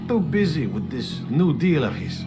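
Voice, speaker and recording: Fretful, high-voiced bazaar merchant. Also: male voice, big pitch swings, normal speed. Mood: worried. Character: worried, complaining male bazaar merchant. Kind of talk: scripted video game acting. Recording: loud music behind the voice.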